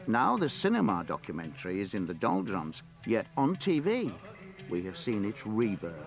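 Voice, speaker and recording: A man reading written criticism aloud from a script. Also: lowish voice.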